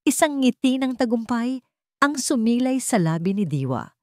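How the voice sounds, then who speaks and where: A woman narrating a scripted drama story, phrasing it dramatically and deliberately in short pieces. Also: fairly high pitch, sing-song, no room sound, clean studio sound.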